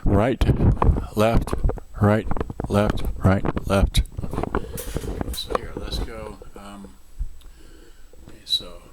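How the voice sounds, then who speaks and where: A man giving a casual, unscripted product demonstration, halting and scattered as he mutters to himself. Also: fairly low pitch, level tone. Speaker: seller demonstrating vintage cassette radio. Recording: very noisy home video with clicks.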